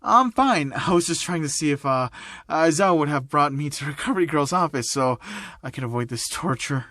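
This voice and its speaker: A man's voice: fairly high pitch, animated, a little fast, sharp tone, no room sound, clean studio sound. Man doing a groaning, hesitant teenage boy student. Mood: panicky. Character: teenage boy student feigning agony, panicky and sheepish.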